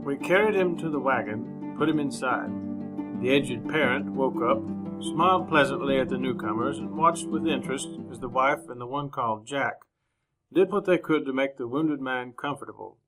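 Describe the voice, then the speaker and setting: An actor playing a courteous gentleman journalist in a radio western, speaking in measured, formal, precise words. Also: mid-range voice, loud music behind the voice, lightly acted, poor audio. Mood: composed. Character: proper, courteous gentleman journalist narrating his western travels. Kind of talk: old-time radio drama narration and dialogue.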